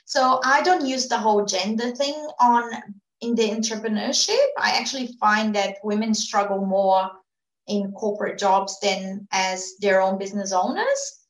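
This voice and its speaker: A woman's voice: mid-range voice, moderate pace, reverberant, poor audio. A woman who runs a PR agency, an immigrant whose first language is not English, answering interview questions earnestly with many fillers and restarts. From immigrant to Australia. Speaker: PR agency owner, guest.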